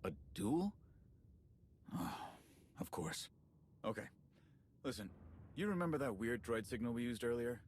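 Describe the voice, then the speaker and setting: A man playing an easygoing, plain-talking scout in a video game, casual and conversational, with background rumble. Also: animated, unhurried, pauses often, close to an everyday voice. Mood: earnest briefing. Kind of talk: video game cutscene dialogue.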